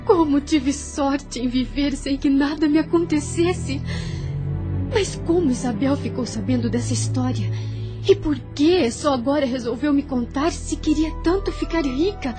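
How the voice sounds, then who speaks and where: Woman doing sheltered young brazilian bride, high voice, earnest n formal, period radio play. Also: measured pace, over music. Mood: troubled, resolute. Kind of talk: audio drama acting.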